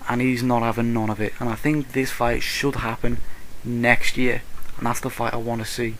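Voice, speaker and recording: A man's voice: mid-range voice, little pitch movement, normal speed, fairly bright tone, slight background noise, rough recording. A British man giving casual solo boxing commentary in a blunt, slangy, opinionated way. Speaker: boxing vlogger.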